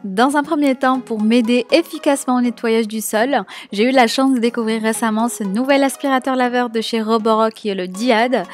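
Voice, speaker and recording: A woman's voice: a little high, moderate pace, few pauses, soft background music. A young woman narrating a cleaning video voice-over, sharing tips with enthusiasm and frequent hesitations. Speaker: home cleaning vlogger.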